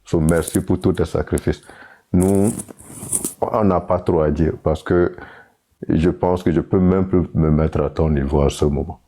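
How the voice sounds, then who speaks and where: A man's voice: low voice, steady tone, a little slow, mellow. A young man with a Togolese accent giving an earnest, casual tribute, dropping in English connecting words. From Togo. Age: young adult. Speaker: participant and moderator in a live online panel.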